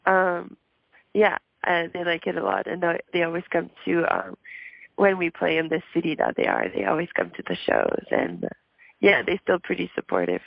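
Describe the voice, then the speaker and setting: A woman with a hoarse voice from a throat issue answers interview questions in a shy, halting way, with many pauses and little laughs. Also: on the low side, huge pitch swings, dry recording, dull audio. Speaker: musician guest.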